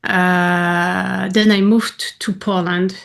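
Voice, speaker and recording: A woman from France with a French accent, a guest chatting in an interview, warm and chatty with many fillers. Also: lowish voice, flat delivery, very slow, creaky. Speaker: guest, painter and advocate. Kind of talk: casual interview conversation.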